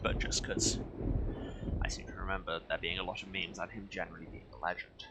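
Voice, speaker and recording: A man's voice: low voice, flat delivery, a little fast, background noise. A man giving casual gameplay commentary, rambling and joking wryly to himself as he plays. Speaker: let's play host. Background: game audio and music.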